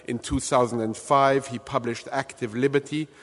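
A man hosting a library event, reading a formal introduction, then ad-libbing haltingly. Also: medium pitch, steady tone, fairly bright tone, big-room sound. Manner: halting and self-deprecating when ad-libbing. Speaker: host. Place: large public library event hall.

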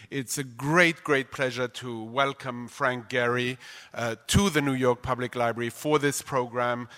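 Brief: male event host, bright forceful tone, effusive and formal, long hall reverb. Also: mid-range voice. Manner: effusive, formal with playful asides. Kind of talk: stage introduction and reading. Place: large library event hall. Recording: reverberant auditorium.

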